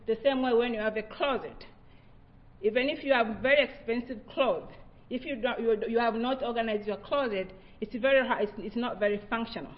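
A woman's voice: a little high, muffled recording. Earnest Tanzanian woman presenting research. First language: Haya and Swahili. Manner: earnest, reflective, with frequent restarts. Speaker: researcher giving a talk. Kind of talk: presentation talk.

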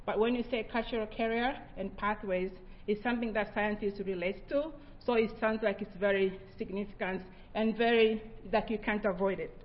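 Middle-aged woman from Tanzania, Haya and Swahili speaker, reflective research talk, mid pitch. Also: fairly even intonation, normal speed, hardly any pauses, dull audio. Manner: earnest, reflective, with frequent restarts. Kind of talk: presentation talk.

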